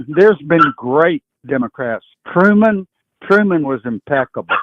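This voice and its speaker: Old guy in his 80s phoning a talk show, kinda high voice, halting, tinny phone sound. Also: expressive, background noise. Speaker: caller to a political talk show. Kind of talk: opinionated political talk. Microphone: phone call. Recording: thin tinny phone line.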